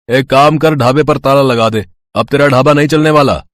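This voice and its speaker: A man's voice: mid-range voice, moderate pace, no room sound, studio quality, lightly acted. A man voicing an arrogant, well-off roadside eatery owner who taunts and turns people away. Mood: mocking. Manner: taunting, dismissive. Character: arrogant rich dhaba owner, mocking man.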